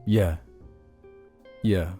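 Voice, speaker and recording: Young podcast guy, low mellow voice, chill rambling chat, faint music under it. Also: fairly even intonation, extremely slow. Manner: laid-back, chatty, reads listener questions aloud. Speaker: podcast co-host.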